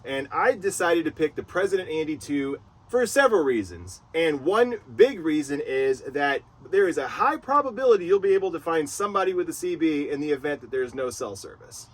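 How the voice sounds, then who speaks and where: A man's voice: fairly high pitch, sing-song, fairly quick. A man hosting a casual product review vlog in an enthusiastic way. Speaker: video host reviewing gear.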